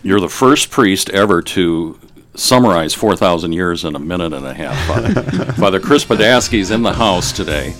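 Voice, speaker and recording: A man's voice: low-pitched, expressive, normal speed, fairly bright tone. A man co-hosting a studio talk show, making wry quips. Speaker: co-host. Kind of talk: studio podcast conversation. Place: radio studio.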